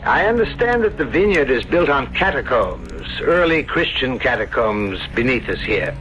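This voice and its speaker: Curious courteous visitor, lively pitch. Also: male voice, normal speed, soft background music. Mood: curious, musing. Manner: polite, musing, inquisitive. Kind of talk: 1970s radio drama acting. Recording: dull old radio broadcast.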